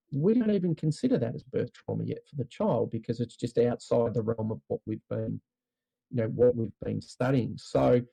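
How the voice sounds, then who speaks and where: A man's voice: medium pitch, creaky, dark tone, breathy edge, low-quality recording. A man with an Australian accent, a podcast guest explaining earnestly and thoughtfully, with frequent "you know" fillers. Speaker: podcast guest, trauma release practitioner. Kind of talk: reflective podcast conversation.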